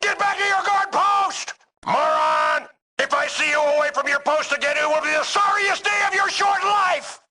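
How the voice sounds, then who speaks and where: An actor playing a furious, bullying army drill sergeant in a video game, barking orders with contempt and sarcasm. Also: male voice, extremely high pitch, moderate pace, sharp tone, a little hiss or hum, exaggerated voice. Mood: barking rage.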